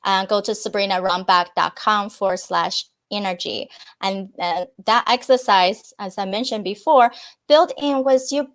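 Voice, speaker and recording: A woman's voice: mid-range voice, normal speed, a bit bright. A woman whose first language is Chinese, answering interview questions earnestly, with false starts and fillers. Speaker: podcast guest.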